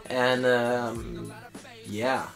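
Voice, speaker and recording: Young male vlogger, mid-pitched, informal and a little halting, music underneath. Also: flat delivery, vocal fry. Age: young adult. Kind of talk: casual chat to camera. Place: small indoor room.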